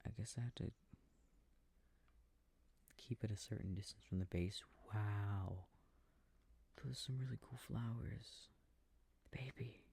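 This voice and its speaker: A man playing an affectionate boyfriend in an intimate ASMR sleep-aid roleplay, murmuring and rambling softly with a breathy voice, close to the microphone. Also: on the low side, a little slow, long pauses, heavily acted. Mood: quietly delighted, then tender, soothing. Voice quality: soft, husky, breathy. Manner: murmured, rambling, gently bemused.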